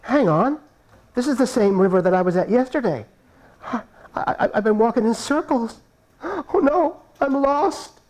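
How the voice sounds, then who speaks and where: A man's voice: very high voice, expressive, measured pace, a little mellow, pitched above the performer's own voice. A lost young hero frets and wails in a raised, whiny voice, sure he will never be found, as a man acts him out. The despair is played broad and comic, like a folk tale told aloud.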